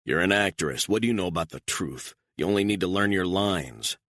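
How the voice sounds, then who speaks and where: Cold deep-voiced gunman, clipped and threatening, read by a guy. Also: lively intonation, a little fast, fairly bright tone, no room sound, studio quality. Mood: menacing, cold. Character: cold, menacing male gunman, calm.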